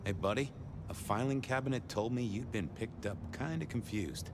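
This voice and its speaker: An actor playing a wry, casual detective in a video game, with informal, slightly hesitant banter. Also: male voice, mid-range voice, normal speed, lightly acted. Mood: breezy, probing. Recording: loud background noise.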